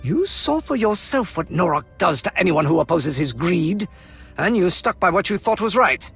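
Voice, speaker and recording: A man's voice: high-pitched, very animated, moderate pace, gravelly, fairly bright tone, husky, faint music behind, heavily acted. An actor playing a proud, idealistic Imperial colonel in a video game, speaking formally and earnestly in his own defence. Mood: defiant. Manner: formal, earnest, impassioned speechmaking. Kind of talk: dramatic video game acting.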